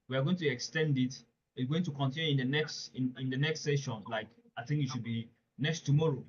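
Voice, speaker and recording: A man's voice: a little high, dull audio, a little hiss or hum. A man presenting a live webinar, talking earnestly and emphatically, often turning to listeners with direct questions.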